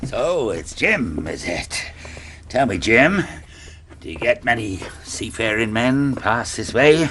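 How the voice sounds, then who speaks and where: An actor playing a gruff, domineering, rum-drinking old seaman with a blunt, commanding manner in a radio drama. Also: mid-range voice, lively intonation, takes it slowly, bright, forceful tone. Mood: conspiratorial. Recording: light background noise and ambience.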